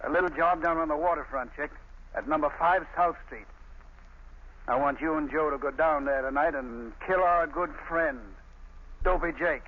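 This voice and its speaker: An actor playing a menacing gang boss. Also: male voice, a little high, normal speed, close to an everyday voice. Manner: snarling, commanding threats. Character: menacing male gang boss, ruthless schemer. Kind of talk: 1930s radio drama acting. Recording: tinny, hissy old radio broadcast.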